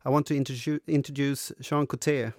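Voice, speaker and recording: A man's voice: mid-range voice, fairly even intonation, fairly quick, no echo, studio quality. Swedish man hosting, hesitant English. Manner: hesitant, many fillers. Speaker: podcast host. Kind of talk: casual podcast interview.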